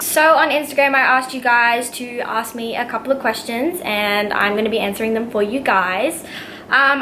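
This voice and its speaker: A woman's voice: high voice, pushed and bright, slight background noise. A nineteen-year-old Australian woman answering viewer questions in a casual vlog, giggly and bubbly, full of "like" and fillers. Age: young adult, nineteen. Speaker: vlogger. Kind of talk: casual Q&A to camera.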